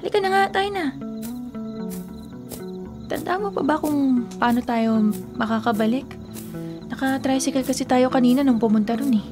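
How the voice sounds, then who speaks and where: An actress playing an exasperated, teasing younger sister in a Filipino radio drama, scoffing at old superstitions in a sassy, conversational way. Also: female voice, high voice, normal speed, long pauses, music in the background, lightly acted.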